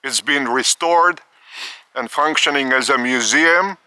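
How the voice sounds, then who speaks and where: A man from Lithuania, giving a spiritual talk outdoors in the park of an old estate, speaking slowly in short, halting phrases. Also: medium pitch, pushed and bright, dry recording, poor audio. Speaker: spiritual teacher.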